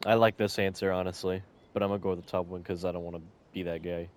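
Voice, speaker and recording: A man's voice: low voice, level tone, fast talker, very noisy. A man giving casual gameplay commentary as a streamer, speaking in an informal, slangy way. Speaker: game streamer.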